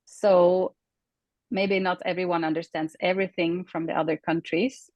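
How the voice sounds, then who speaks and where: A Swedish woman in her thirties, a native Swedish speaker, speaking English casually as a guest on a video call and often pausing to search for words. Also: fairly low pitch, flat delivery, darkish tone, no room sound. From Sweden. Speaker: interview guest.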